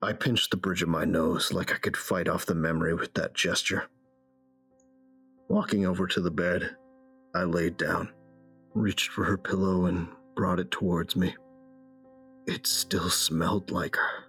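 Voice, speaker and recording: Male actor for weary, tender big man in mourning; low register, measured audio-drama delivery, music bed. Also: level tone, fairly bright tone. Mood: grieving, reflective. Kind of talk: dramatic first-person audio drama narration. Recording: music under the voice.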